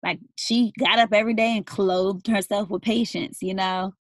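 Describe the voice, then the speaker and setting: A young woman in casual conversation with her mother, talking thoughtfully with many pauses and fillers. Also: mid-range voice, fairly even intonation, dry recording. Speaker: daughter interviewing her mother.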